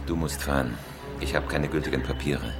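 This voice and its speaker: A hard-boiled career criminal in a crime radio play, with an extremely low voice. He speaks in clipped, deadpan lines, guarded and cool, with prominent music behind him. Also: normal speed. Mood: cool, curt. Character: terse, hard-boiled male professional robber, cool and wary. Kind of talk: German radio crime drama acting.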